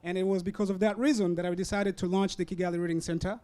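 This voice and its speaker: A young man from Rwanda, a student, giving an earnest, measured public talk with some hesitations; English is not his first language. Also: high voice, level tone, warm tone. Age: young adult. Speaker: student speaker. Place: university event tent. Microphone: hand-held or stand mic.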